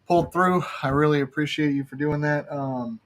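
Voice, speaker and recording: A man's voice: fairly high pitch, fairly even intonation. A man hosting a casual podcast over a video call, greeting guests in an easygoing way, with fillers and restarts. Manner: friendly, easygoing, halting with fillers. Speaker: podcast host.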